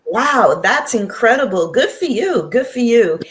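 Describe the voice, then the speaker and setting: A woman's voice: a little high, animated, measured pace, slight background noise. A woman hosting an interview show, upbeat and encouraging as she asks her guest questions. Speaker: show host.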